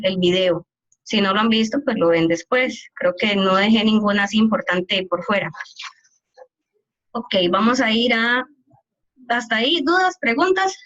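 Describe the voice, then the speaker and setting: A woman's voice: medium pitch, a bit bright, highs cut off. A woman math teacher giving an online calculus class, explaining step by step and often checking that her students follow.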